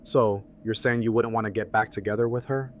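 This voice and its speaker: A man's voice: on the low side, steady tone, brisk, a little hiss or hum, natural voice. A man playing a friendly, loyal, laid-back game master who talks in casual slang, in an audio drama. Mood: friendly, curious.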